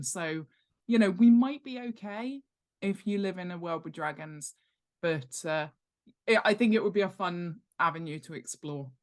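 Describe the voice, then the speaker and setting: A woman chatting casually as a guest expert in an interview, in a chatty, jokey and emphatic way. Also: fairly low pitch. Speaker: guest author and world-building expert. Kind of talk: casual podcast interview chat.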